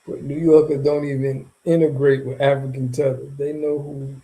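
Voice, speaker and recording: A man hosting a casual livestream, reacting to viewer comments in an animated, slangy and mocking way. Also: medium pitch, normal speed, poor audio. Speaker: streamer reacting to viewer chat.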